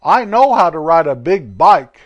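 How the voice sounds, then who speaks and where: A man's voice: on the high side, very animated, unhurried, dull audio, pitched below the performer's own voice. A man voicing a boastful, confident young boy in a children's story.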